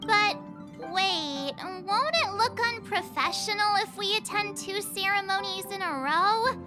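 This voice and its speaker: An actress playing a bubbly, excitable young girl who talks in a chirpy, playful way in a game. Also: extremely high pitch, measured pace, music in the background. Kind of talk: game voice acting.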